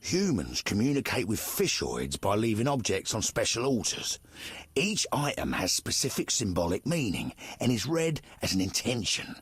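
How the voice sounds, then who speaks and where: An actor voicing a scornful, dismissive local man in a game, with a husky voice and a sneering, mocking tone. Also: on the low side, big pitch swings, moderate pace, dry recording, heavily acted. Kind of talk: game dialogue.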